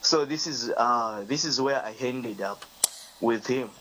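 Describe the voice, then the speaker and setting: Man, caller, halting speech, mid-pitched. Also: fairly bright tone, slight background noise, poor audio. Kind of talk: phone-in contribution.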